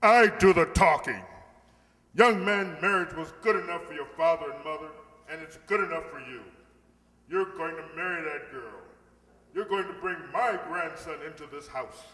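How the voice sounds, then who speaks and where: A man playing a stubborn, domineering older father in an old-time radio comedy, emphatic and quick to cut others off. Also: on the high side, pushed and bright. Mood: indignant, bossy. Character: blustering, stubborn wealthy old father, eager for grandson. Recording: distant, echoey hall stage recording.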